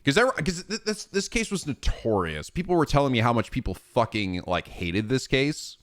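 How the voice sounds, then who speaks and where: A man's voice: medium pitch, sing-song, moderate pace, a bit bright. A man in his thirties chatting casually on a livestream, rambling and swearing freely with frequent laughs. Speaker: streamer.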